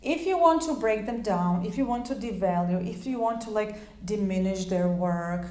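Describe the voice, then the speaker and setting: A woman's voice: medium pitch, normal speed, a little mellow, echoey room. Chatty woman, Croatian first language. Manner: chatty, many fillers and restarts. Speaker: English teacher. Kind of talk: informal spoken lesson.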